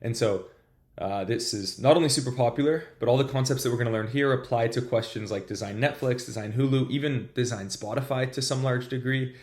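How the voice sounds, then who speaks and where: A man's voice: medium pitch, a little fast, a little mellow. A man hosting a tutorial, explaining system design at a whiteboard in an upbeat, casual way, his voice a little congested from a cold. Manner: upbeat, casual, addresses viewers as "you guys". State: has a cold. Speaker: tutorial host.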